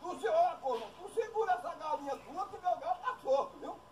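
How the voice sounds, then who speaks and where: Brief: man doing a squeaky, swooping old hick, quick to curse and bicker, outdoors with light noise behind. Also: expressive. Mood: cursing. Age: older. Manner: shrill, insulting, quick to anger. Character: cranky foul-mouthed old backcountry man. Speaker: comic character. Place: outdoors at a rural country house.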